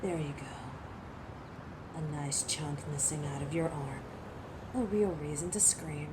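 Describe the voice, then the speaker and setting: Girl playing ruthless alpha werewolf lady, slow n imperious, sardonic, noisy background, audio roleplay. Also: sits low. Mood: cruel satisfaction. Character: commanding, exasperated, ruthless female alpha werewolf. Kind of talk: dramatic roleplay audio acting.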